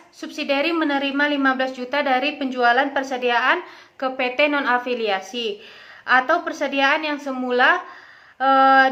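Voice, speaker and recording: A woman's voice: high voice, sharp tone. A young woman giving a student presentation, reading figures aloud and mixing in English terms. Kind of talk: scripted presentation of accounting figures.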